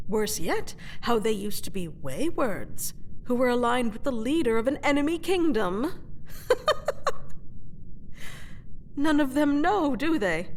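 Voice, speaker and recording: Slow-spoken, proud captive queen played by a woman. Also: on the high side, slight background noise, heavily acted. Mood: taunting, incredulous. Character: captured proud fantasy queen, sardonic former mercenary, under interrogation. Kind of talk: scripted audio roleplay drama.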